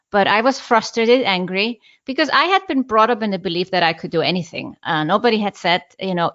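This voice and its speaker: A middle-aged woman with an Icelandic accent and a medium-pitched voice shares a personal story as an interview guest. She is deliberate and repeats phrases for emphasis. The audio is a little dull and lo-fi. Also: fairly bright tone. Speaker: interview guest, business coach.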